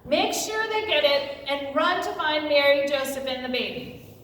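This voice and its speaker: A woman's voice: high voice, normal speed. A woman reading a story aloud to a live congregation in a large reverberant room, recorded from a distance. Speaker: pastor and storyteller. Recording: distant, very echoey noisy hall.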